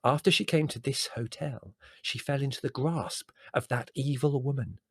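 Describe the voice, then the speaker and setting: A man's voice: mid-range voice, normal speed, slightly rough, no room sound, studio quality, pitched above the performer's own voice. A man voicing a coy, fussy, earnest woman cousin in a storybook, emphatic and confiding. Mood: confiding, ominous. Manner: gushing, confiding, emphatic, easily exasperated.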